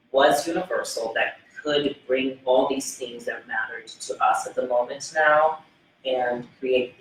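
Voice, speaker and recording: A Brazilian man with a New York-tinged accent, hosting a casual cast discussion with rambling, animated stories. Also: mid-range voice. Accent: Brazilian, New York influenced. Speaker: interviewer and film writer. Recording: echoey room, mic at a distance, faint noise.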